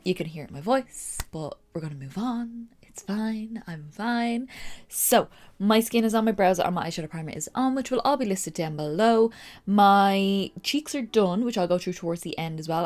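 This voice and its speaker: A woman's voice: on the low side, darkish tone. A young woman with a stuffy, nasal voice from a cold, chatting casually through a makeup tutorial. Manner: chatty, rambling, upbeat. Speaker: makeup vlogger.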